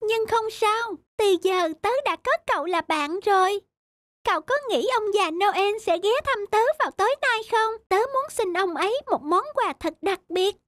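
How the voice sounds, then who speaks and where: A woman's voice: extremely high pitch, expressive, normal speed, a bit bright, dry recording. A woman voicing a lonely, wistful little girl with childlike pleading in a cartoon fairy tale. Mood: wistful, hopeful.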